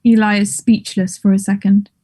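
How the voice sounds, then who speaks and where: Female narrator for a play table read, dark, relaxed tone, matter-of-fact delivery of stage directions. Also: medium pitch, normal speed.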